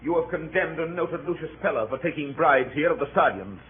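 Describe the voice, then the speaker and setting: An actor playing a proud, easily offended Roman soldier in a declamatory classical radio drama style. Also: male voice, fairly high pitch, animated, fairly quick, lightly acted. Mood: aggrieved. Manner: declamatory, indignant. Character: proud, hot-tempered Roman soldier, indignant older comrade. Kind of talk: classical Shakespearean radio acting. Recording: tinny, noisy old radio recording.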